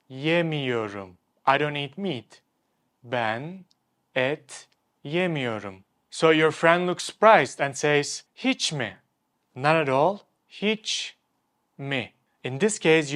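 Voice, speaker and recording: A young man with a slightly raised pitch teaches in an upbeat, clear way. He is a native Turkish speaker running a scripted online lesson, and he slows right down to spell out example phrases. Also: fairly bright tone.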